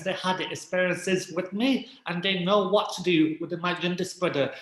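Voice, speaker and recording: Man, interview guest, halting speech, frequent restarts, fairly high pitch, echoey recording. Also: fairly bright tone. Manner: halting, many restarts and fillers. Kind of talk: casual interview talk.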